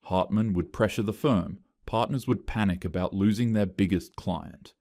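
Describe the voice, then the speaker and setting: A man reads a first-person story aloud in a steady, flat scripted manner. His voice is low and the sound is dry and close to the mic. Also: moderate pace, gravelly, no room sound. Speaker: story narrator.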